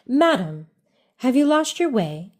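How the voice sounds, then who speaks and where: A woman reads the part of a suave gentleman fox from a children's storybook. He is genteel and formal, obliging to the last word, with a sly streak under his polite hospitality. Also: high-pitched, very animated, slow, voice pushed up, exaggerated voice. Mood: courteous, curious.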